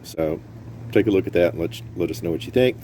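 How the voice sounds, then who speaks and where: A man's voice: low voice, quick pace, dark tone. A man giving a casual, plain-spoken, unscripted product walkthrough as host, recorded on a phone. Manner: plain-spoken, informal, explanatory. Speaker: host of an off-road radio gear overview. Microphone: phone used for filming. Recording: noisy phone camera audio.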